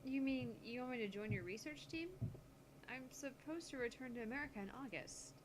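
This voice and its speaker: A woman voicing a sharp-tongued, easily indignant young female genius scientist in a visual novel, curt and sarcastic. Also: sits high, fairly quick, close to an everyday voice, low-quality recording. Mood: wary. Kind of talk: visual novel character reading. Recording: noticeable background noise on home mic.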